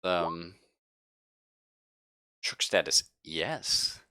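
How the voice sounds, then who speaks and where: Low, bright-voiced casual game master guy. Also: drawn-out words, clean tone, dry recording, studio quality. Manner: casual, slangy and profane. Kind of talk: narrating a live tabletop roleplaying game.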